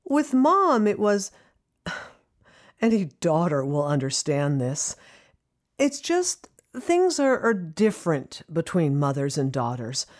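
An actress playing a wry, reflective adult daughter in an audio drama, confiding her story with pauses and sighs. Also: on the low side, sing-song.

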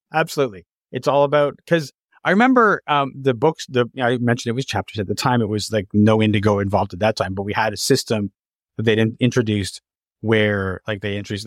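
Animated man with a stammering, rambling delivery. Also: mid-range voice, fast talker, no echo, clean studio sound. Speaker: interviewer, podcast host. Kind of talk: casual conversational interview.

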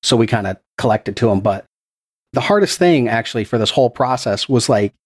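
A man's voice: mid-range voice, lively intonation, brisk. A man who produces a podcast and runs its game, answering interview questions in an earnest, explanatory way with a few restarts, speaking into a podcast microphone. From Texas.

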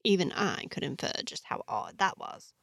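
A woman's voice: sits low, big pitch swings, normal speed, gravelly, a bit bright, no echo, clean studio sound, heavily acted. A woman voicing a mischievous, easygoing male pickpocket with a nonchalant, teasing manner in an audio story. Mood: explaining. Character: mischievous, easygoing man, a roguish pickpocket informant.

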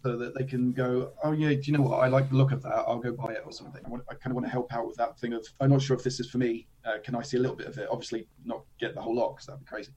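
A man with a slightly worn, hoarse voice, chatting casually as an interview guest. His talk runs loose and friendly, full of little self-deprecating asides. A faint hum of noise sits under the recording. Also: medium pitch, fairly even intonation, very fast, few pauses, a little mellow. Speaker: guest, tabletop game designer.

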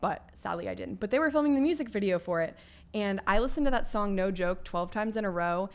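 A young woman co-hosting a casual podcast, chatty and emphatic with youthful slang, her voice a bit lowered as she recovers from flu. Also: animated, a little fast. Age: young adult. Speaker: podcast co-host.

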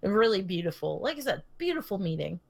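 A woman's voice: mid-range voice, very animated, fairly quick, vocal fry. A young woman co-hosting a podcast, casually telling a long story full of fillers and restarts, often laughing. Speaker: podcast co-host. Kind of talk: casual chat.

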